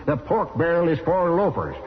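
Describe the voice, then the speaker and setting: A man voicing a blustering, halting old politician making a pompous stump speech in old-time radio style. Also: a little high, sing-song, in a large hall, slight background noise, voice pushed up. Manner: stammering, bombastic, self-correcting. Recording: dull vintage radio recording.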